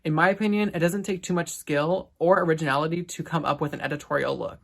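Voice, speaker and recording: A man's voice: on the high side, fairly even intonation, quick pace, creaky, fairly bright tone. An eighteen-year-old male contestant speaking in a blunt, self-assured confessional. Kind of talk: confessional interview.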